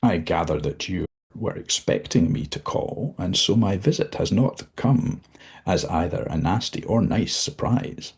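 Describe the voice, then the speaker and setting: A man's voice: low voice, animated, unhurried, gravelly, darkish tone, breathy edge, exaggerated voice. A man voicing the devil as a formal, condescending and menacing figure. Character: the devil, suave, menacing, all-knowing male.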